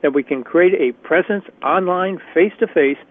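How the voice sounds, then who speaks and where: A man with a slightly raspy voice leading a career workshop on a conference call, chatty and encouraging with frequent restarts. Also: high-pitched, moderate pace. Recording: tinny phone line.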